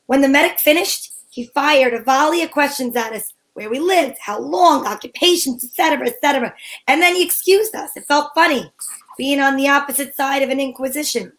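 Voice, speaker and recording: A woman's voice: high voice, moderate pace, a bit bright, low-quality recording. A woman playing a breezy, sensible, take-charge female sleuth in an old-time radio mystery, with a wry manner. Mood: narrating, pensive. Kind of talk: old-time radio mystery acting with first-person narration.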